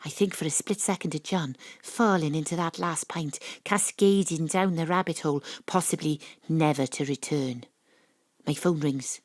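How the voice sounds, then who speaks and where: A woman playing a cool, wry private detective in an audio drama, narrating and talking in a measured, theatrical way. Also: low-pitched, a little hiss or hum, lightly acted. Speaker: lead actor and narrator.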